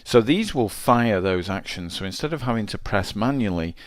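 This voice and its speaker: Male tutorial presenter, very low register, dry close sound, informal and thinking aloud. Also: lively intonation, moderate pace, no echo. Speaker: game development tutorial presenter. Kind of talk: casual screencast walkthrough, thinking aloud.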